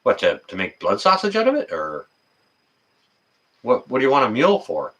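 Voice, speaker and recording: A man in his fifties hosting a casual livestream chat, talking in a rambling, folksy, halting way. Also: mid-range voice, wildly swooping pitch, fairly bright tone. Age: 50s. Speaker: livestream host.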